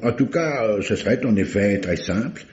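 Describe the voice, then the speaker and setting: An actor playing a gruff, hesitant middle-aged police commissioner in an old radio drama, stammering and repeating himself. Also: male voice, lowish voice, expressive, moderate pace, a little mellow, natural voice. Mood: musing, brisk. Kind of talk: mid-century French radio drama acting. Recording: dull, roomy vintage radio recording.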